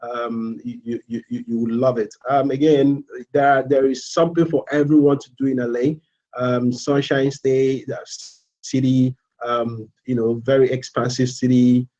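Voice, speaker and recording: A man in his thirties from Nigeria with a Nigerian accent, a student giving a casual live presentation, halting with fillers and repeats. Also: medium pitch, little pitch movement, warm tone, dull audio. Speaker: MBA student.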